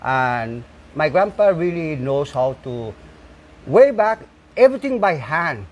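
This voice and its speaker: A man's voice: a little high, sing-song, a little slow. A man, a farmer and bull cart maker, talking in a casual interview with many fillers and restarts. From Saipan, Northern Mariana Islands. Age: 60s. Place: outdoors at his home.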